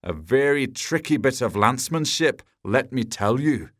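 A man's voice: medium pitch, lively intonation, moderate pace, fairly bright tone, no room sound, clean studio sound, pitched above the performer's own voice. A man voicing a vain, boastful knight in a storybook, pompous and bragging. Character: boastful, self-satisfied male knight, triumphant and vain.

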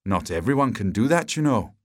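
A man's voice: lowish voice, very animated, brisk, no room sound, studio quality. A man voicing a vain, boastful knight in a storybook, pompous and bragging. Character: boastful, self-satisfied male knight, triumphant and vain.

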